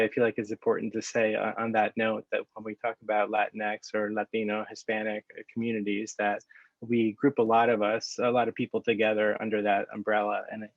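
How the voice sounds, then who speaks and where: Man with a flat, low voice on a dull call. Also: no echo. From Dominican Republic. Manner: halting, many fillers and restarts. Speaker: panel moderator, magazine editor. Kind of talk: online panel discussion. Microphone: video call. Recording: dry, dull lo-fi video call audio.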